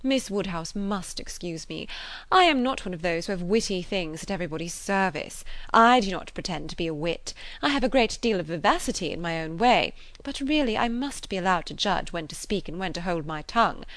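A woman's voice: on the low side, expressive, a little fast, fairly bright tone, no echo. A woman reading a classic novel, voicing a pompous, self-satisfied married lady with an emphatic manner. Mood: affronted, haughty. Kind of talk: classic novel character dialogue.

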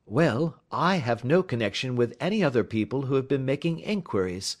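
A man voicing a cool, shrewd gentleman detective in an audiobook, with a polite, careless, lilting manner. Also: mid-range voice, sing-song, moderate pace, voice pushed up. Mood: careless, nonchalant. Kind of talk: audiobook character dialogue.

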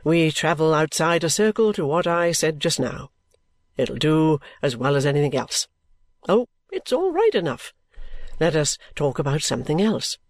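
A woman voicing a careless, easygoing and affectionate young gentleman in an audiobook, with a breezy, musing manner. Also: sits low, big pitch swings, normal speed, no echo, pitched below the performer's own voice. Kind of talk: audiobook character dialogue.

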